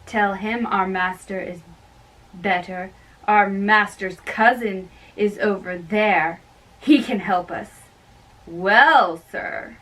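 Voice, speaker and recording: A woman giving an amateur dramatic reading of a play, performing all the parts in a theatrical, halting way. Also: mid-range voice, a bit bright. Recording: distant microphone.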